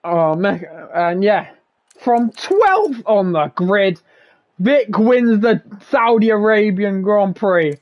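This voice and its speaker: Hoarse young man calling a race. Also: sits high, animated, slow pace, highs cut off. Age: teens. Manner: shouts calls at the finish, then reads results and chats loosely with fillers. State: hoarse, voice nearly gone. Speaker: race commentator and stream host. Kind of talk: excited sim-racing commentary and casual chat.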